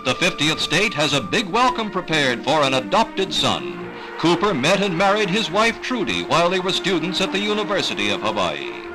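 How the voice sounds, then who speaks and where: An American man reading scripted 1960s newsreel narration in a dramatic, measured announcer delivery. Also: fairly high pitch, low-quality recording. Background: music.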